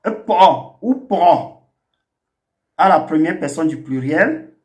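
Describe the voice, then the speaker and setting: Slow, high-voiced male language teacher. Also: animated. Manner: slow, deliberate, spells out words one by one. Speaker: language teacher and channel host. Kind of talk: scripted language lesson.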